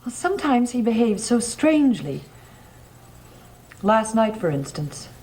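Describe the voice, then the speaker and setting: A woman's voice: a little high, sing-song, a little slow. An actress playing an uneasy, concerned woman in an old gothic television soap, speaking earnestly and hesitantly. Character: uneasy, concerned female guest in a gothic mansion. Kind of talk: 1960s-70s gothic soap opera acting. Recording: old TV soundtrack with loud background music.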